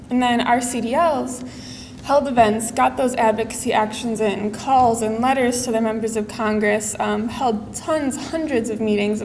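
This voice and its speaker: A woman's voice: a little high, darkish tone. Woman speaker with many ums in a hall. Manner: hesitant, many "um" fillers, upbeat. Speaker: advocacy staff organizer for the Midwest region. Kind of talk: conference panel talk and Q&A. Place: conference hall. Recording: reverberant hall with faint noise.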